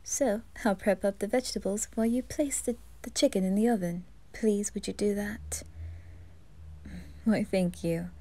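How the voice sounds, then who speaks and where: Woman voicing a weary, proper male butler, low-key and hushed, mellow tone. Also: fairly quick, few pauses, close to an everyday voice. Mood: composed. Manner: calm, hushed, dry. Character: composed, exasperated male butler. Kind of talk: ASMR roleplay.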